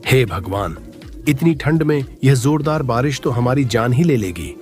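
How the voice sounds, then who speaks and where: Figure: a man doing a cold, weary villager. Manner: grim. Pitch: medium. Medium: animated story with music. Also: measured pace.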